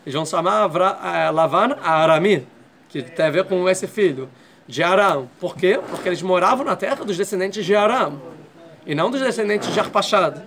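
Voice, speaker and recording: A man's voice: high-pitched, lively intonation, moderate pace, fairly bright tone. A man teaching a Torah class. He explains step by step and keeps checking in with his students. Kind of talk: classroom lecture.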